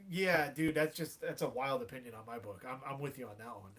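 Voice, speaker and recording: A man in his thirties, a guest on a podcast, chatting in a relaxed way with frequent laughs and fillers. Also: mid-range voice, brisk, slight background noise. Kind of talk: casual chat.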